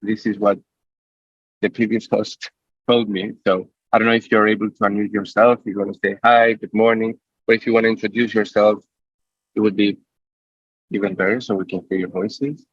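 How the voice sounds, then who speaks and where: A man's voice: on the low side, level tone, normal speed, breathy edge, dry recording. A man hosting an online panel, introducing the talk casually and hesitantly with many fillers. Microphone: video call. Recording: dry, muffled video call audio.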